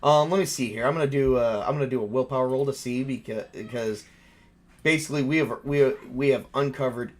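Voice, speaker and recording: A man's voice: medium pitch, fairly quick. Male game master, mid-range voice, informal tabletop narration, conversational delivery.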